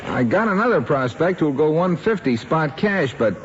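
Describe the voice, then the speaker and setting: A man with an American accent playing a smooth-talking, folksy used-car seller in a vintage film. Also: a little high, very animated, normal speed. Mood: persuasive. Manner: persuasive, folksy. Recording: muffled vintage film soundtrack, faint noise.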